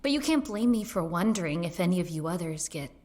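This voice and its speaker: A woman's voice: on the low side, animated, quick pace, crowd noise, natural voice. An actress playing a weary, irritable woman plagued by ghosts in an audio drama. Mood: weary, wry.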